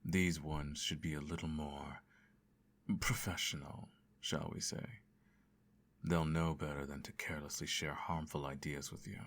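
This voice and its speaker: Evil emperor father , male actor, extremely low gravelly voice, formal and condescending, quietly menacing bedtime ASMR roleplay. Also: little pitch movement, a little slow, exaggerated voice. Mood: cold, menacing. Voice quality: very deep, creaky.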